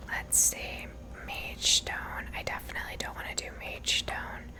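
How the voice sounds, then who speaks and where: A woman whispering casual ASMR commentary as she plays a video game, musing aloud. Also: measured pace. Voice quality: whispered, unvoiced. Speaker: ASMR gamer.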